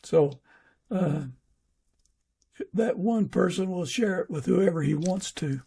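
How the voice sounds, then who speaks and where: A man with a husky, gravelly voice hosting a conversational interview, speaking hesitantly with many halts. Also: high-pitched, dark tone. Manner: hesitant, halting. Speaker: podcast host and fiction author.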